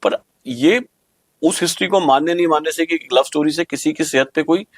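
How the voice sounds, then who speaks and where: A man's voice: a little high, normal speed, dry recording, clean studio sound. A man with an Indian accent hosting an opinionated podcast discussion, speaking emphatically with rhetorical questions and slipping into English. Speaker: podcast host.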